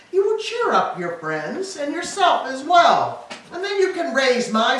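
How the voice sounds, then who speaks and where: A woman playing a grieving, stoic old queen and grandmother in a staged reading of Greek tragedy, with formal, lamenting delivery. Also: medium pitch. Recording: echoey hall, distant mic, faint noise.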